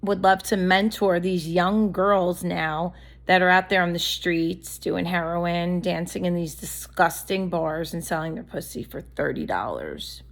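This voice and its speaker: A woman's voice: lowish voice, unhurried. A woman vlogger talking straight to camera in a candid, blunt, rambling monologue, her voice a little worn from allergies.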